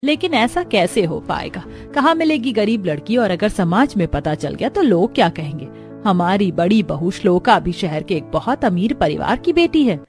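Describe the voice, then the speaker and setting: A woman's voice: medium pitch, sing-song, normal speed, a little mellow, soft background music. A woman voicing a rich, status-anxious mother-in-law in a matter-of-fact manner. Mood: worried.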